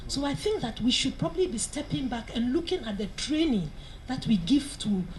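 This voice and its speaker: A woman's voice: sits high, expressive, fairly quick, slight background noise, rough recording. A woman at a conference making an emphatic, persuasive point. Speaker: conference speaker.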